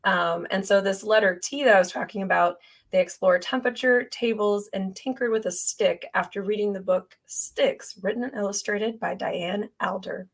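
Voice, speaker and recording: A young woman co-hosting a podcast in casual chat, her voice hoarse and scratchy from losing it, laughing often. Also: mid-range voice, moderate pace, hardly any pauses. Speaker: podcast co-host.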